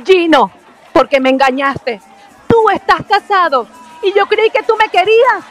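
A woman's voice: extremely high pitch, lively intonation, a little slow, soft background music. An actress playing a naive, lovesick young woman in a radio soap, with a plaintive, melodramatic delivery. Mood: hurt, hopeful. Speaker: radio drama actor. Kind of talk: radionovela acting.

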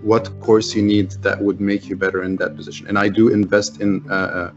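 A man from Egypt speaks in a low, earnest voice as an interview guest, often stopping and restarting his sentences. The audio is dull and lo-fi, and music plays clearly behind him. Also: little pitch movement. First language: French. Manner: earnest, halting with many restarts. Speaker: interview guest, business owner. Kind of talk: casual interview talk.

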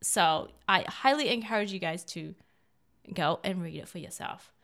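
A woman's voice: lowish voice, expressive, moderate pace, vocal fry, a bit bright. A woman from China co-hosting a conversational political talk show, speaking in an emphatic, opinionated way. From born in China.

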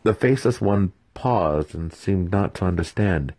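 A man with a deep voice narrates fantasy fiction dramatically. His tone is husky and rough-edged, delivered as a scripted audiobook reading. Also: lively intonation, measured pace, darkish tone.

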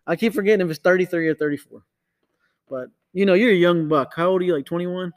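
30s guy chatting about faith, slightly high voice, keeps restarting sentences. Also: fast. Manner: rambling, self-correcting, many restarts. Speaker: podcast co-host.

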